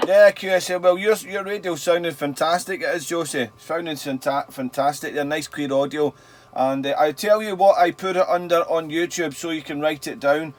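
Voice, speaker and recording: A man's voice: a little high, fairly even intonation, moderate pace, slight background noise. A man chatting casually as a radio operator, friendly, repeating phrases and spelling words out in phonetic alphabet. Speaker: amateur radio operator hosting a channel. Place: small indoor radio shack.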